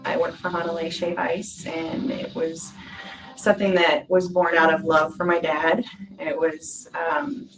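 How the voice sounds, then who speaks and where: A woman's voice: fairly low pitch, fairly even intonation, unhurried, recorded from a distance, over music, poor audio. A woman telling her personal story in a reflective, informal way, as in a documentary interview. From Hawaii, island of Kauai. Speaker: shaved-ice business owner.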